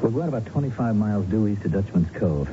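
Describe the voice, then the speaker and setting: A man's voice: on the low side, expressive, fast, very noisy, close to an everyday voice. An actor playing a breezy, cynical man who cajoles and teases an old friend, in 1970s radio drama style. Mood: casual, businesslike. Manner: cajoling, teasing, offhand. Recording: dull 1970s radio recording with music.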